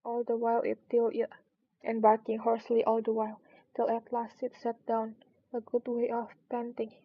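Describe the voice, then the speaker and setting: A woman reads a storybook aloud in a fairly high voice. Her delivery is very flat, with noticeable fry in the voice. She sounds like a streamer doing a read-aloud session. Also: dull audio. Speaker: streamer reading books aloud.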